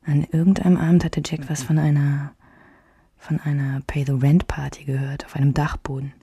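A reminiscing woman narrator in a radio play, very dark and husky in tone. Her voice crackles with fry and slips toward a whisper as she intimately recalls a bohemian youth, dry and close, over faint music. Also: low voice, flat delivery, moderate pace, no room sound, exaggerated voice. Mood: reflective. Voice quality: breathy, raspy, often near whisper.

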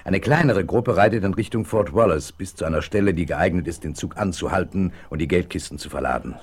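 An actor playing a cool, calculating leader of a Western outlaw gang in a 1970s radio play, commanding and deliberate. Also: male voice, low-pitched, breathy edge.

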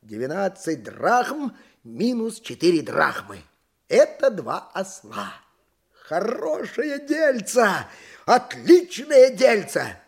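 An actor playing a boastful, simple donkey driver who likes a drink, muttering and exclaiming theatrically in a radio play. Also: male voice, high-pitched, husky, full character voice. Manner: muttering to himself, wheedling, exclaiming.

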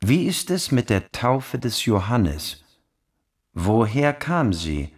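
An actor playing a grave, unyielding Jesus in a radio play, speaking deliberately and solemnly with a husky voice. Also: male voice, mid-range voice, big pitch swings, exaggerated voice. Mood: grave, challenging. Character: Jesus, a grave, unyielding man answering his opponents. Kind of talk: radio play scripture dialogue.

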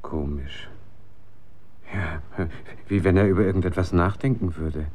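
Low-voiced, offhand grumbling husband. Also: male voice, a little slow, a little mellow. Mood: dry, offhand. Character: casual, mildly grumbling husband, a working doctor. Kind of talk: naturalistic radio drama dialogue.

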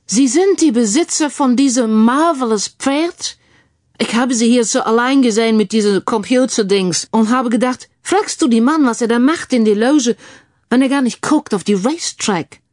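A woman's voice: on the high side, normal speed, darkish tone, dry recording, studio quality, voice pushed up, natural voice. A woman voicing a hearty, eccentric English earl in an audio drama, barking jovially in broken German with an English accent. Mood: jovial, effusive. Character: boisterous, eccentric English earl, hearty red-haired man.

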